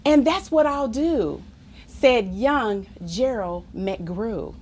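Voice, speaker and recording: A woman reads a children's book aloud with theatrical emphasis. Her voice sits fairly high and swoops widely up and down. She takes her time over the phrases, keeping the delivery slow and playful. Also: animated, darkish tone. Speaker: story reader. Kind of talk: expressive children's read-aloud with asides to listeners.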